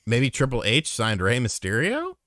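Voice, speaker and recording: A man's voice: mid-range voice, wildly swooping pitch, moderate pace, sharp tone, dry recording, clean studio sound. A man co-hosting a podcast aftershow, joking and swearing in rambling casual chat. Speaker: podcast co-host.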